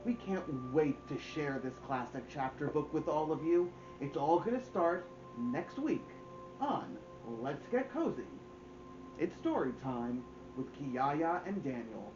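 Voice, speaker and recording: A middle-aged man reading aloud to children as a story time host, with theatrical delivery and deliberate pauses. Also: fairly high pitch, animated, lo-fi sound, over music. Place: indoors, small home room.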